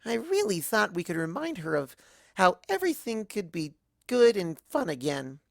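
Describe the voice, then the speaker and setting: A woman's voice: medium pitch, very animated, moderate pace, gravelly, dry recording, clean studio sound. A woman voicing a bubbly, scatterbrained young cartoon pony who rambles earnestly like a child. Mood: upset, guilty. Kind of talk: first-person fan fiction narration in character.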